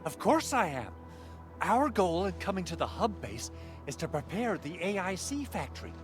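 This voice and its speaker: A man voicing an eager, excitable young engineer in video game story dialogue. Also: sits high, normal speed. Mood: excited, earnest. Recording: music behind the voice.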